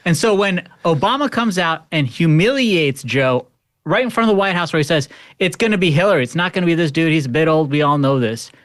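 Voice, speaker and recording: An American man with a fairly high voice talks politics casually. He is emphatic and slangy, and the sound is close and dry. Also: expressive, a little fast, no echo. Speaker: political podcast panelist.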